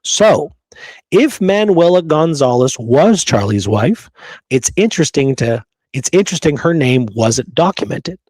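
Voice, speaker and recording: A man's voice: fairly high pitch, big pitch swings, a little slow, darkish tone, dry recording, rough recording. Emphatic man presenting history research, dry sound. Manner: emphatic, checks in with rhetorical right and okay. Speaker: history show host. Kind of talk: presenting research to listeners, partly reading prepared notes.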